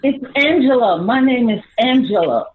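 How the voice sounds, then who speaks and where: A woman's voice: fairly high pitch, lively intonation, moderate pace, lightly acted. A woman playing a worried, indignant homeless citizen in an amateur radio play, earnest and incredulous. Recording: phone-like muffled sound with faint noise.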